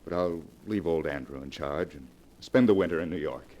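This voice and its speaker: Sad widowed painter, super low voice, halting and earnest, noisy 1940s radio drama. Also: male voice. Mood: hesitant. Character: grieving, hesitant widowed painter, a man.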